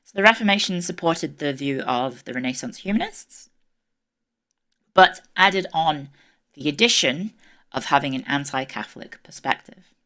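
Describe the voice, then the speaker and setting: A woman's voice: deep voice, animated, moderate pace, fairly bright tone. A woman giving a casual livestream history lecture, chatty and self-deprecating with many ums, her voice strained and tired. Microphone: desk microphone, peaking at times.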